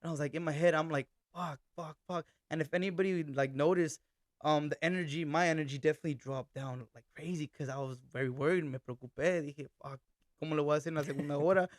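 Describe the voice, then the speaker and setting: A young man, a band's singer, chatting casually on a podcast, telling relaxed stories and mixing Spanish phrases and swearing into his talk. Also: fairly high pitch, fast talker, dry recording, clean studio sound. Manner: laid-back storytelling, mixes Spanish phrases and swearing. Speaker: band vocalist and accordion player.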